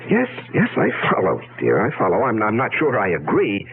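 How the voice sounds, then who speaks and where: Low, swooping businessman, old radio. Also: wildly swooping pitch, a little fast. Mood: guarded, uneasy. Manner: hesitant, repeats himself. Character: nervous, evasive businessman plotting in secret. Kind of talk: 1970s radio drama acting. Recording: tinny, hissy 1970s radio broadcast.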